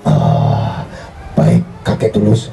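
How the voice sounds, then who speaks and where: A man playing a sly mustached tempter in a live children's story, with a gravelly, wheedling, theatrical delivery. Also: extremely low pitch, huge pitch swings, slow, mellow, slight background noise, heavily acted. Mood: conceding.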